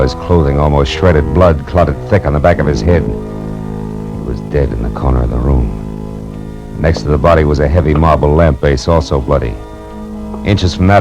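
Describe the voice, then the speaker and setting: Hard-boiled American male private eye, 1940s radio noir, extremely low pitch, terse wisecracks, muffled vintage recording. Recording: muffled old radio recording, music behind.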